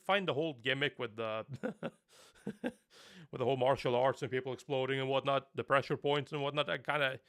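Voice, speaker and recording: A man's voice: fairly high pitch, sharp tone. A young man from Norway, a native Norwegian speaker talking in English, chatting casually on a live stream, rambling and laughing often.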